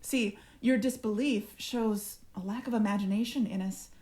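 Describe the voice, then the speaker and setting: A woman playing a cool, smug alien parasite speaking through its human host, measured and formal, in an audio drama. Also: medium pitch, steady tone, mellow, lightly acted. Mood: smug, persuasive.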